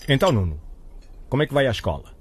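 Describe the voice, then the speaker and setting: A man's voice: mid-range voice, background noise, lightly acted. An actor playing a proud, hasty middle-aged father in a radio drama, speaking. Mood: reassuring. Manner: excitable, quick to jump to conclusions.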